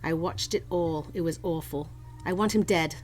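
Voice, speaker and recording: A woman's voice: fairly low pitch, moderate pace, a little mellow, soft background music, close to an everyday voice. A woman playing a steely, vengeful gun-toting stranger in a campy noir radio play, commanding and melodramatic. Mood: bitter. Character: steely, vengeful woman holding a detective at gunpoint.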